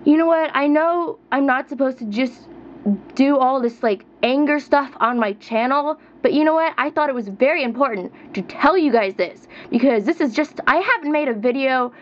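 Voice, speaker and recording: A young woman in her twenties vents at the camera, her voice high and insistent as she repeats her points with rising pitch. The sound is dull and lo-fi, like a cheap webcam mic. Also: normal speed. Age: 20s. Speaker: vlogger. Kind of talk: heated rant to camera.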